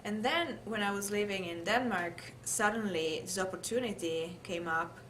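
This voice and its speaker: A woman's voice: mid-range voice, normal speed, a bit bright, poor audio. A woman from Italy with an Italian accent, thoughtfully recalling her life in an interview. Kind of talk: oral history interview, personal recollection. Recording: clear background noise, room ambience.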